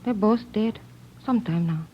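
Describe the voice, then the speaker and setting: A woman's voice: medium pitch, a little slow, lightly acted. An actress playing a guarded, anxious married woman in an old radio drama, hesitant and formal in her phrasing. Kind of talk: 1940s-50s radio drama acting. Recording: dull old radio recording.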